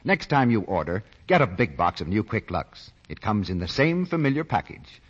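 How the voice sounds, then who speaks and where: An American man announcing and pitching for a sponsor in 1940s radio style. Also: very animated, moderate pace, husky. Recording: muffled vintage radio broadcast.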